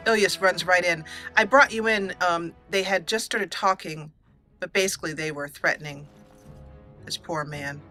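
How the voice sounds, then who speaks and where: A woman's voice: on the low side, normal speed, pushed and bright, faint music behind. A woman hosting a let's play, casually commentating and storytelling in a roleplay style.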